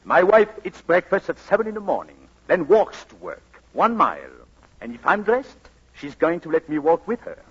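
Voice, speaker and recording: An actor playing an exasperated, lovesick newlywed playwright husband, excitable and pleading, in 1940s radio comedy style. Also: male voice, on the high side, normal speed, slightly rough, exaggerated voice. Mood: agitated. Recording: muffled old radio broadcast, music behind.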